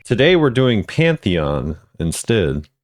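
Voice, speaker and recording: A man's voice: lowish voice, big pitch swings, slow, a little hiss or hum. A man hosting a video, giving casual commentary as he ranks game voice lines.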